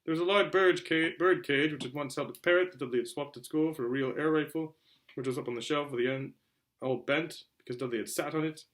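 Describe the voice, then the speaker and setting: Male story reader, quick pace, bright forceful tone, animated delivery with small stumbles. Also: a little high. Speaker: reader, show host. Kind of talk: amateur audiobook-style story narration.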